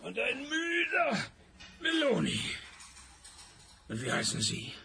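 An actor playing a weary, sardonic private detective in a radio play, with a dry, sarcastic delivery. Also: male voice, extremely high pitch, huge pitch swings, slow, vocal fry, background noise, exaggerated voice. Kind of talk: radio play acting with first-person narration.

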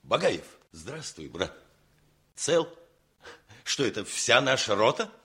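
An actor playing a proud, blunt army lieutenant in a radio play, with a soldierly, emphatic way of speaking. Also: male voice, medium pitch, big pitch swings, slow pace, sharp tone, heavily acted. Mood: hearty. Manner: soldierly, plain-spoken, emphatic.

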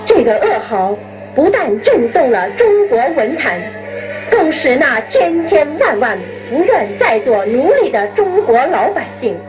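A woman's voice: high voice, big pitch swings, a little slow, low-quality recording. A woman radio announcer reading a solemn scripted commemorative narration in a formal, declamatory manner. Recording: archive broadcast with music bed. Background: music.

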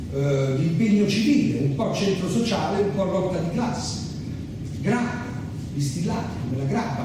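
A man performing a satirical theatrical monologue as a solo stage actor, reflective, with deliberate pauses. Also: high voice. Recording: very echoey hall, distant, noisy.

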